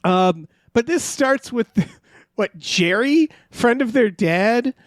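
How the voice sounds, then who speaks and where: A man's voice: extremely high pitch, lively intonation, slow pace, no room sound, studio quality. A man co-hosting a podcast in a casual, comedic recap style, rambling and incredulous, with some offhand swearing. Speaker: podcast co-host.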